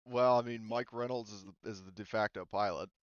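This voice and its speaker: A man acting as game master, explaining tabletop game rules to a group in a casual, halting, informal way. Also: fairly low pitch, steady tone, clear, resonant tone, dry recording, dull audio.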